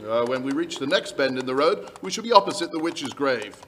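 A man's voice: medium pitch, lively intonation, a little fast, a bit bright, a little hiss or hum, close to an everyday voice. A middle-aged man playing a worried, polite aristocratic landowner, telling his tale hesitantly in old-time radio style. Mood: grave. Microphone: shared stage microphone.

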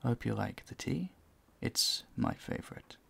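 A man playing a courteous, charming vampire in an intimate audio roleplay, soft-spoken, breathy and soothing, often close to a whisper. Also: medium pitch, lively intonation, moderate pace. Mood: shy, tender. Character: gentle, courteous male vampire, charming and doting. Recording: faint ambient noise behind the voice.

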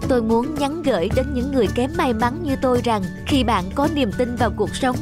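A woman's voice: high-pitched, fairly quick, music in the background, natural voice. Woman playing earnest young designer giving a speech. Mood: heartfelt, resolute. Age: young adult. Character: resilient young female fashion designer, grateful and earnest. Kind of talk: animated drama, formal stage speech.

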